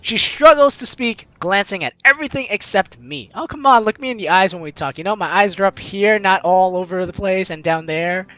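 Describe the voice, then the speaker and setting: A young woman hosting a let's-play, reading game text aloud and commenting on it. Her bright voice swings widely in pitch, with a theatrical, teasing manner, over faint music. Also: lively intonation, brisk, few pauses, no room sound, muffled recording. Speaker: gaming video host.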